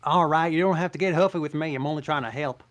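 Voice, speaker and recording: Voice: medium pitch, fast talker, close to an everyday voice. A reader voicing a chatty, cocky talking donkey in a table read, with a sassy, defensive manner.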